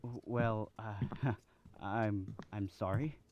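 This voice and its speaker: A man's voice: lowish voice, fairly even intonation, extremely slow, no echo, muffled recording. A man acting in an old-time radio drama as a nervous, defensive young accomplice who speaks hesitantly. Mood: awkward, subdued. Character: jittery, guilt-ridden young accomplice, weak and defensive.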